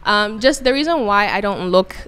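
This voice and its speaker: A woman in her twenties from Ghana, a guest chatting in an interview with many pauses and restarts. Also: medium pitch, expressive, a bit bright, clear, resonant tone. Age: 20s. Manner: hesitant, many ums and restarts. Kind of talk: casual interview chat.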